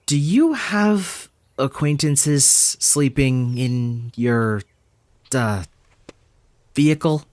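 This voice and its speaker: An actress playing a polite, tactful motel clerk in an audio drama, chatty and measured. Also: female voice, a bass voice, expressive, darkish tone, dry recording, full character voice. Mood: tactful, probing. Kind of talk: naturalistic audio drama acting.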